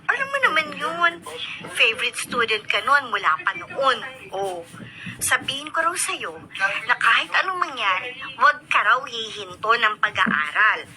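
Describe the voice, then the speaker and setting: An actress playing a caring, chatty young student in a radio drama, expressive and coaxing. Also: female voice, fairly high pitch, measured pace, heavily acted, low-quality recording. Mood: concerned, confiding. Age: young adult. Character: caring, chatty young female student confiding in her cousin.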